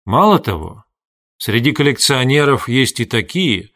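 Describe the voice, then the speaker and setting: A man with a mid-pitched voice narrates literary fiction. His delivery is scripted and deliberate, set out in measured phrases as an audiobook reader would do. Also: very animated, fairly bright tone.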